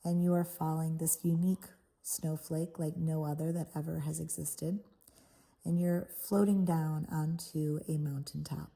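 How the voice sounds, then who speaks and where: A woman leading a guided visualization in a soothing, unhurried manner, leaving pauses between short phrases. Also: low voice, level tone, gravelly, mellow. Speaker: guided meditation host. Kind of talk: guided meditation, soft guided visualization.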